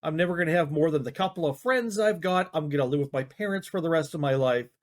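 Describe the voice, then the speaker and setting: A man's voice: on the high side, fast talker. A man giving casual reaction commentary, rambling and hedging with frequent restarts. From Canada. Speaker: YouTube commentator.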